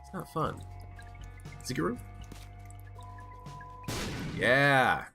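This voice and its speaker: A man with a stuffed-up, hoarse voice, chatting casually as he plays a video game. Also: mid-range voice, very animated, very slow, bright, forceful tone. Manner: chatty, thinking aloud while playing. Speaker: gaming channel host. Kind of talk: casual let's play commentary. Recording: background game music.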